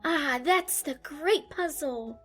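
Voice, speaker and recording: A woman acts out a storybook little girl with a very high, slow voice. She is bewildered and earnest, musing aloud and chiding herself, with music playing behind her. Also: animated, a bit bright, full character voice. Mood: puzzled. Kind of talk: audiobook character acting.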